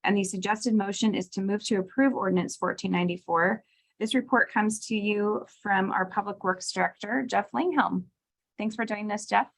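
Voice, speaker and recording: A woman's voice: mid-range voice, normal speed, creaky, rough recording. Woman mayor chairing a council meeting. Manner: orderly, formal procedural.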